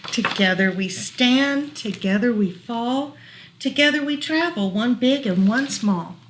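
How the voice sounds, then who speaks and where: A woman reading picture books aloud to small children as a storytime librarian, gently explaining as she goes. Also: on the high side, animated, slight background noise, low-quality recording. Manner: gentle, unhurried, explaining pictures to little listeners.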